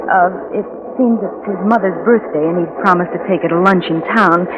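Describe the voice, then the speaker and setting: A woman's voice: lowish voice, brisk, highs cut off, lightly acted. A woman playing an anxious, lovesick and possessive young woman in a 1940s radio drama, emotional and insistent, with hesitations. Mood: devoted, confident. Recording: noisy vintage 1940s radio recording.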